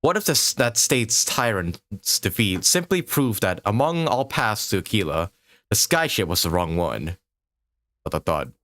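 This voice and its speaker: A man's voice: lowish voice, big pitch swings, unhurried, fairly bright tone. A man playing a pompous, argumentative male scholar, reading game text aloud dramatically. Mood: indignant.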